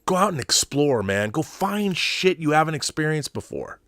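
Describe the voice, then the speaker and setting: A man's voice: a little high, sing-song, normal speed, fairly bright tone, no room sound. Middle-aged American man, dry close sound. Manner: rambling, enthusiastic, emphatic. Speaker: YouTube show host and filmmaker. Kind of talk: casual opinionated livestream chat.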